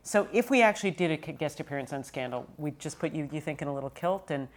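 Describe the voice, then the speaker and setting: A woman's voice: low voice, animated, fast talker. A woman hosting a casual interview, enthusiastic and playful, gushing over her guest and reading out fan questions. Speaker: interview host and actress.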